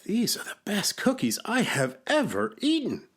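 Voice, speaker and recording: Man putting on a high, husky storybook frog. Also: wildly swooping pitch, a little slow, full character voice. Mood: sensible. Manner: patient, advising. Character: high-voiced, sensible, kindly male frog from a children's storybook.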